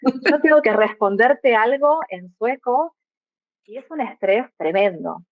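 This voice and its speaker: A young woman from Argentina with a clear Argentine accent talks through her ideas in a reflective way, often checking in with small tag questions. Her voice comes over a tinny, phone-like line. The sound is dry, with almost no room around it. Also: fairly high pitch, expressive, unhurried, no room sound. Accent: Argentine Spanish. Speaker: guest, migrant mother. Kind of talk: reflective podcast conversation.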